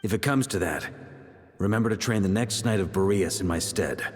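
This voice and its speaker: Actor doing a steady knight commander, low voice, long hall reverb. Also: male voice, brisk, faint music behind, close to an everyday voice. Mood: reassuring. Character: seasoned male knight commander, calm and reassuring. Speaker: grand master of a knightly order.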